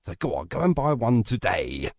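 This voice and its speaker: A man's voice: mid-range voice, big pitch swings, moderate pace, darkish tone, dry recording, clean studio sound. Jokey British man hosting, voice swinging widely. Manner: jokey, teasing, repeats phrases. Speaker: radio show host. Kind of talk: chatty interview banter.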